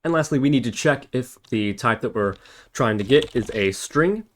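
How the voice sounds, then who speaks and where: A man's voice: mid-range voice, expressive, normal speed. A man giving a casual step-by-step programming tutorial, thinking aloud and correcting himself as he types. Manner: thinks aloud while typing, self-correcting. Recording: noisy, with keyboard typing and clicks. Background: computer keyboard typing, clicking, background noise.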